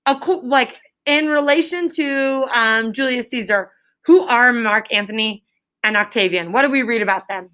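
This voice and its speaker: A woman with a fairly high, very bright voice leads a classroom read-aloud. She sounds like a schoolteacher, instructive and projecting clearly forward. She breaks up the reading with short prompts and check-in questions for her pupils. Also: moderate pace. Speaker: elementary school teacher.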